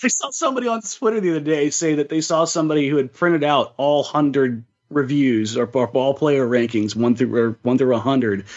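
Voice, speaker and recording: A man's voice: mid-range voice, fairly quick, few pauses, highs cut off. A man co-hosting a sports podcast in casual chat, often repeating words as he thinks aloud.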